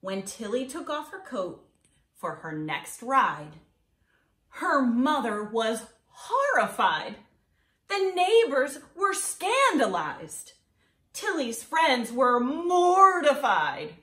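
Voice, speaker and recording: Slow-paced woman reading a picture book. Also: high-pitched, big pitch swings, fairly bright tone, roomy sound. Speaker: children's read-aloud presenter. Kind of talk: expressive picture-book read-aloud for children.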